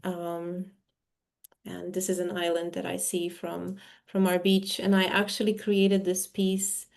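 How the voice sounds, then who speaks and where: A woman from Serbia presenting her own art in a talk, speaking in a searching way with frequent pauses and self-interruptions. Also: fairly low pitch, completely flat delivery, vocal fry, darkish tone. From Serbia, former Yugoslavia. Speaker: artist, interviewee.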